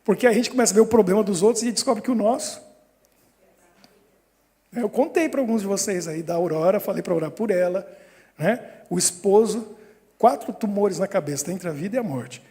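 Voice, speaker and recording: Brief: pastor, high mellow voice, chuckling storyteller, reverberant hall. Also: male voice, a little fast. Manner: lively storytelling with frequent chuckles. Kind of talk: sermon. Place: church hall.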